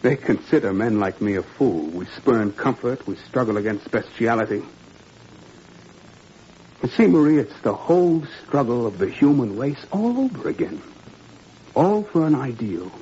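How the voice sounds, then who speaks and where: A man's voice: medium pitch, sing-song, normal speed, warm tone, close to an everyday voice. A man playing an idealistic, troubled father in a 1940s radio drama, earnest and probing with wry irony. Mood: bitter, resolute. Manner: probing, earnest, wryly ironic. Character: idealistic French father, troubled, principled, quietly ironic. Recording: muffled, noisy old radio recording.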